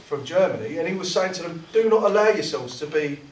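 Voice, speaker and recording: A man delivers a fervent political speech, often stumbling and repeating words as he pushes his point. His voice sits a little high for a man. It is captured from a distance in a roomy meeting hall. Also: animated, fast, a little hiss or hum. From South East London. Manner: impassioned, restarts and repeats words. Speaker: trade union activist speaker. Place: meeting room.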